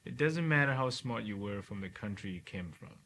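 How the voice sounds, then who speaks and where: A middle-aged man from Cameroon, a neurosurgeon talking reflectively in an interview, often ending points with a quick "right?". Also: fairly low pitch, expressive, a bit bright. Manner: reflective, frequent "right?" tag questions. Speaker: neurosurgeon guest. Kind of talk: relaxed interview conversation. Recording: faint hiss.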